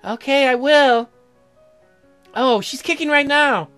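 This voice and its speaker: A gentle, anxious young colonist wife, voiced by a man with a raised pitch. She speaks earnestly and a little hesitantly in visual novel dialogue, with music behind. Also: slow, heavily acted. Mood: gentle, polite.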